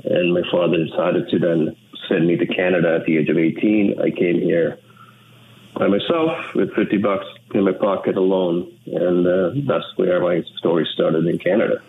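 A man from Sri Lanka, an English speaker, talking in an earnest, measured way as an interview guest. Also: low voice. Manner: measured, earnest, with frequent restarts. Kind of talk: reflective interview talk. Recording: tinny phone line with faint noise.

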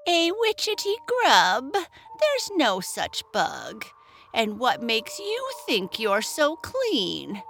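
A woman voicing a lonely, grumpy old witch in a bedtime story, with a shrill, dramatic manner. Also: wildly swooping pitch, extremely slow, gravelly, a bit bright, soft background music, heavily acted. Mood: cranky.

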